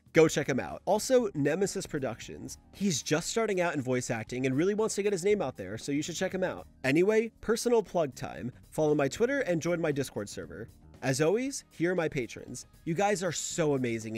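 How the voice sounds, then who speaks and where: A man's voice: on the high side, sing-song, fairly quick, darkish tone. A man narrating a video essay in an informal, opinionated, conversational way, as the host of his channel. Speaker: host of a manga analysis channel. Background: background music.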